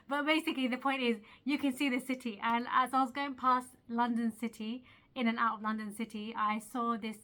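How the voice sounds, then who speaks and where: Young woman vlogger, fairly high and bright, chatty and earnest, speaks straight to viewers. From Eritrea. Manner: chatty and earnest, laughs at herself, asks the viewer questions. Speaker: video creator. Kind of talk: casual motivational talk to camera.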